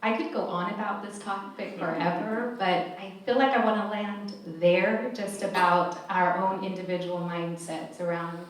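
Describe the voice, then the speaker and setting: A woman's voice: mid-range voice, fairly even intonation, normal speed, few pauses. A woman whose first language is Spanish, speaking earnestly on a panel with many restarts. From California, USA. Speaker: panelist, math educator. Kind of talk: panel discussion. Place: large echoey event hall. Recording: echoey hall, mic far off.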